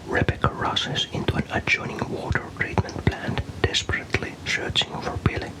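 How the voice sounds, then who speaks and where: Guy from finland doing asmr storytime, all soft breathy whisper, calm and unhurried narration.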